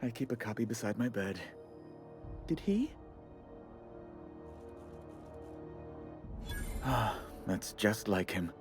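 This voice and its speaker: Melodramatic, fussy official, wildly swooping voice. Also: male voice, lowish voice, animated, unhurried, music in the background. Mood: wistful grief. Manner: theatrical, florid, fussily apologetic. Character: effusive, melodramatic male colonial official, grieving and flustered. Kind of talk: video game character acting.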